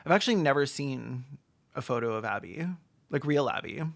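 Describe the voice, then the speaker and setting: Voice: medium pitch, expressive, brisk, creaky. Snarky, campy video essay commentator. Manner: snarky, campy, joking asides. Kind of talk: sarcastic scripted commentary on a film.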